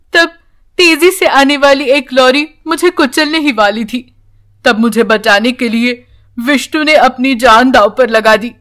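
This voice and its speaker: Lady doing an older village uncle in a kids story, slow n earnest, apologetic kind type. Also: high voice, a bit bright.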